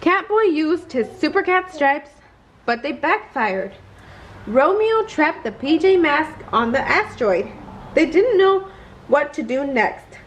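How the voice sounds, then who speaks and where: A woman's voice: high-pitched, sing-song, slow pace, a little hiss or hum, low-quality recording. A woman reading a children's bedtime story aloud, expressively and carefully. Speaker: storybook reader.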